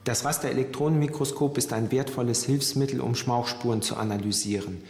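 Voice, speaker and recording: A man's voice: medium pitch, fairly quick, a little mellow, faint music behind. Man explaining forensics in an echoey room. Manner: formal, precise wording. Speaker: forensic medicine expert. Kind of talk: technical explanation in an interview. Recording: echoey room with long reverb.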